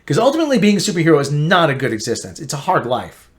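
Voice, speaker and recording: A man's voice: huge pitch swings, very fast. Emphatic man ranting a TV review. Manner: emphatic, repetitive, swings between loud outbursts and low grumbling. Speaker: online pop-culture commentator. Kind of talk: opinionated TV review rant.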